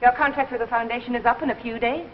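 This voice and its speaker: Actress as a high-voiced, spiteful, taunting wife. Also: female voice, steady tone, quick pace, close to an everyday voice. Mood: cold triumph. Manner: theatrical, taunting, feigning innocence. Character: spiteful wife gloating over destroying her husband's work. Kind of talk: 1950s anthology drama acting. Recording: old muffled hissy broadcast, reverberant hall.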